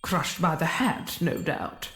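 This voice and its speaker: Woman doing a sardonic no-nonsense queen, takes her time, very expressive pitch, echoey room. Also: slow, full character voice. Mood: withering, impatient. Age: middle-aged. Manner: dry, curt, sardonic. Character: brisk, sceptical, withering middle-aged queen. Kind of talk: comedy audio drama acting.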